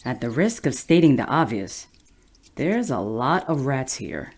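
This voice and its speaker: A low, animated, sardonic sidekick. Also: female voice, unhurried, slightly rough, heavily acted. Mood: amused. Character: tall, sardonic, game-for-anything woman sidekick. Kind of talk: audio drama acting.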